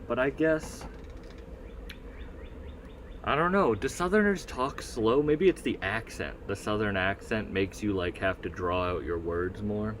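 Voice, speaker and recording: A man's voice: medium pitch, slight background noise. A man with a Northeastern US accent rambling through a casual solo livestream, swearing freely and reading the chat aloud. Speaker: livestream host.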